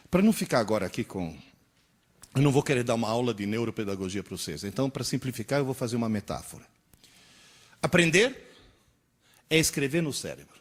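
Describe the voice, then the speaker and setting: Man, sixties, lecturer, husky, lively pitch, rhetorical questions. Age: 60s. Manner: animated, rhetorical questions, acts out dialogues. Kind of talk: lively public lecture to a live audience.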